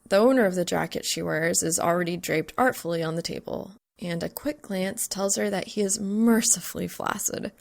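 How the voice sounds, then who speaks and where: A woman reading a scripted story aloud as narrator, with an expressive, dramatic delivery. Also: lowish voice, gravelly. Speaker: fan fiction narrator.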